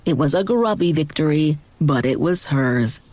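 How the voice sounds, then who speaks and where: A woman reading dramatized fiction narration in a measured way with deliberate emphasis. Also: low voice, expressive, a little mellow, no echo. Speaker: audiobook narrator.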